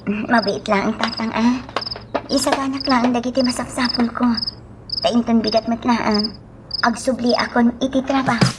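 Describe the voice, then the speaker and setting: A woman's voice: fairly high pitch, fairly even intonation, moderate pace, heavy background noise, natural voice. A woman playing a humble young job seeker and devoted daughter in a radio drama, with an Ilocano accent. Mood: grateful, affectionate.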